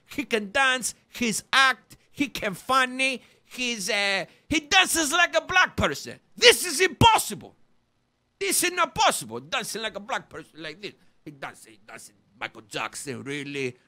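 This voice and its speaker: A comedian delivering a rambling conspiracy rant in broken English, lurching between shouted outbursts and low mutters. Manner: ranting, broken English, wild swings from loud to muttered.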